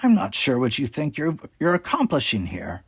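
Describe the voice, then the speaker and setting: A man's voice: mid-range voice, huge pitch swings, normal speed, slightly rough, dry recording, voice pushed up, exaggerated voice. Man voicing a scornful, drawling female alien pirate captain. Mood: smug, threatening. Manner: drawling, mocking, demanding. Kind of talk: audiobook character voice.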